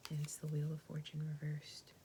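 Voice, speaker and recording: A woman giving a tarot reading in a soft, gentle, reassuring whisper. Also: low-pitched, completely flat delivery, brisk, noisy background. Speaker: tarot reader.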